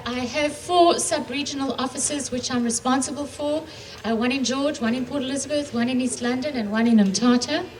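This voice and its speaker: Middle-aged woman, businesslike conference speaker, slightly high voice, distant mic with faint hiss. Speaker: panelist, regional telecom executive. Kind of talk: panel introduction with a staged phone call. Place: conference room.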